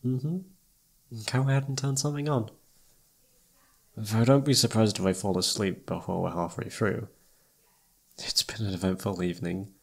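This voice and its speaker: A man playing an affectionate, sleepy best friend turned lover in an intimate ASMR roleplay, speaking softly and tenderly. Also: fairly low pitch, fairly even intonation, moderate pace, creaky, pauses often.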